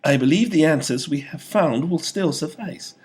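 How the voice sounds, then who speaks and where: Jittery royal vizier for a fantasy audiobook, servile and stammering, voice pushed high, done by a male narrator. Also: lively intonation, moderate pace, darkish tone, husky. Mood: eager, servile. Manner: jittery, stuttering, eager to please.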